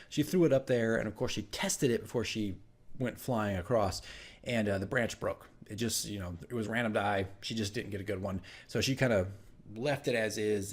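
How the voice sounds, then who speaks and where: A man telling the story of his tabletop game session as dungeon master, in a casual, animated, rambling vlog style. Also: mid-range voice. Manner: animated, rambling asides with acted-out exclamations.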